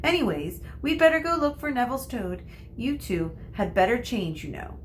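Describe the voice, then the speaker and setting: A woman's voice: fairly high pitch, sing-song, moderate pace, a little hiss or hum, pitched above the performer's own voice. A woman voicing a bossy, eager know-it-all young witch girl in a storybook, chattering in a breathless, self-assured way.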